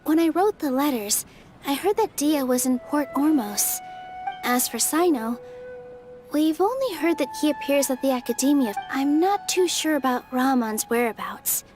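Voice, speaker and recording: A woman voicing a gentle, modest young dancer in a video game. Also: high-pitched, expressive, normal speed. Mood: friendly. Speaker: performer hosting a feast. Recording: game audio with music behind.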